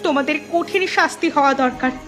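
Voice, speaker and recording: A woman acts a righteous young daughter in a cartoon melodrama. She is high-pitched and quick, indignant and insistent, with music playing behind her. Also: lightly acted.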